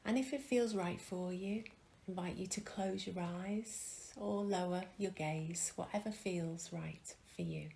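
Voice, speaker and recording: A woman leading a guided mindfulness meditation, speaking calmly and gently with long pauses and soft, breathy phrase endings. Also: medium pitch. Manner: calm, gentle, unhurried with pauses. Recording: faint background noise.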